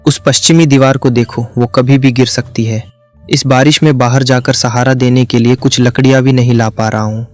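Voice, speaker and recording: Guy doing a grumpy poor village husband, flat monotone, soft music behind. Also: medium pitch, normal speed, close to an everyday voice. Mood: grumbling.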